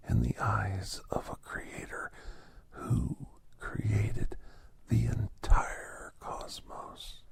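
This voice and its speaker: A man voicing a gentle, reflective doctor who tells an ASMR holiday story in a breathy, hushed whisper with deliberate pauses. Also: lowish voice, no echo, exaggerated voice. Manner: hushed, deliberate, with long pauses between short phrases. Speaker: narrator of an ASMR story podcast.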